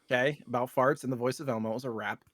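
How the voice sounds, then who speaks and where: A man's voice: mid-range voice, steady tone, very fast, fairly bright tone, no echo, studio quality. A man co-hosting a podcast in casual chat, telling rambling, joking stories. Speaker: podcast co-host and streamer.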